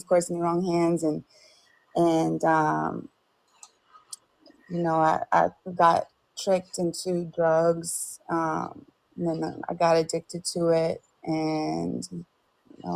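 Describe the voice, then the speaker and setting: Young woman guest from Ukraine; low, slow, halting delivery with a creaky edge. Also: low-quality recording. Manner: halting, hesitant, soft-spoken. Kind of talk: personal testimony. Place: remote call.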